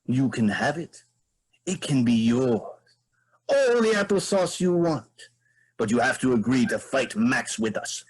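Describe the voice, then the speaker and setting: Man playing an imperious, husky moose monarch. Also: medium pitch, sing-song, unhurried, rough recording. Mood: persuasive. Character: imperious, irritable moose queen, scheming and persuasive. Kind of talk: staged play reading.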